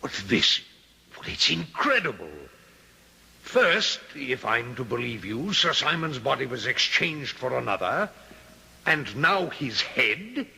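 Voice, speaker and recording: An actor playing an arrogant, smooth-talking doctor of dubious repute in a gothic radio mystery, with a haughty, theatrical manner. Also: male voice, mid-range voice, sing-song, slow, pushed and bright, exaggerated voice. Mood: shocked. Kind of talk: old-time radio drama acting. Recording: noisy old radio drama recording.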